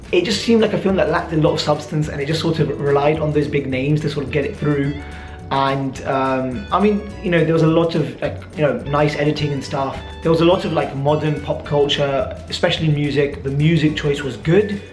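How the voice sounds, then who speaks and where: A man's voice: a little high, roomy sound. A young adult man with a British accent, chatting casually and informally as he answers viewer questions in a vlog. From born and raised in the UK, teenage years in Dubai. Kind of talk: casual Q&A chat to camera.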